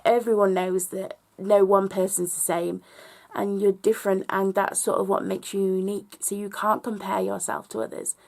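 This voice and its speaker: A woman records a personal vlog, talking straight to her audience in a reflective, earnest way. Her voice is slightly hoarse, with plenty of vocal fry and a gravelly edge. She speaks at an ordinary, mid-range pitch. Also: little pitch movement, moderate pace, a little mellow. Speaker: vlogger.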